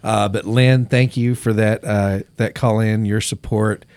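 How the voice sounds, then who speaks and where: A man's voice: on the low side, fairly even intonation, unhurried, a little mellow, clean tone, no echo. A man hosting a radio fundraiser in the studio, talking casually and rambling with many fillers. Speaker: radio host. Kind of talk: casual on-air fundraiser chat. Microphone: studio mic.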